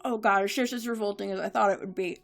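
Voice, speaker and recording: A woman's voice: mid-range voice, steady tone, fast, creaky, soft background music, close to an everyday voice. A woman playing an exasperated, sardonic time traveler with a grumbling, dry delivery in an audio drama. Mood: exasperated, weary.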